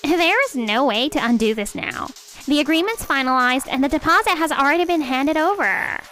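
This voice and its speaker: Dramatic manga-dub wife in business, played by a woman, very high swooping voice, defensive. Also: expressive, moderate pace, a bit bright. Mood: panicked. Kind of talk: anime-style manga dub acting. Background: music.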